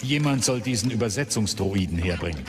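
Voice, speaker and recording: A man plays a composed, commanding warrior-monk general in a radio drama. His voice is fairly low and terse, with long reverb and music behind it. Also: expressive, fairly quick, lightly acted. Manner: terse, authoritative. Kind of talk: radio play acting.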